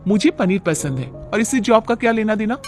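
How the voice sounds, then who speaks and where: Young working wife , played by a man: high voice, argumentative, fed up, cartoon moral tale. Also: expressive, fairly quick, over loud music. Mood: annoyed. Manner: complaining, argumentative. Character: defiant, fed-up young working daughter-in-law. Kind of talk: cartoon moral story acting.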